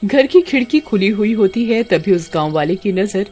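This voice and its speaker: A woman's voice: medium pitch, lively intonation, normal speed, a little mellow, breathy edge, faint music behind. Woman narrating a cartoon story. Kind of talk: scripted children's story narration.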